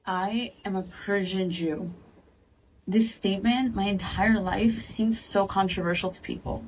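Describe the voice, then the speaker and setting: A woman's voice: medium pitch, fairly even intonation, a little slow, darkish tone, distant mic, slight background noise, rough recording. Earnest woman, a bit off-mic. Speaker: activist speaking in a video clip. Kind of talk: earnest direct-to-camera statement. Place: in Israel.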